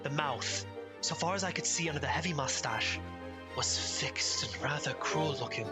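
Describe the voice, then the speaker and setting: A man's voice: on the high side, lively intonation, normal speed, over loud music, lightly acted. An actor playing an earnest, anxious young lawyer's clerk narrating his journal in a dramatic radio play style. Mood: hushed dread. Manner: dramatic, urgent, mounting dread.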